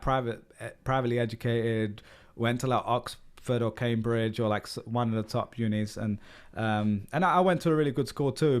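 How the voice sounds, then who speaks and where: A man's voice: mid-range voice, darkish tone. A man in his thirties from London with a London accent, talking candidly as a podcast guest, full of "like" and "um" fillers. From London, England. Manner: candid, rambling, frequent "like" and "um" fillers. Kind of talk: casual interview conversation.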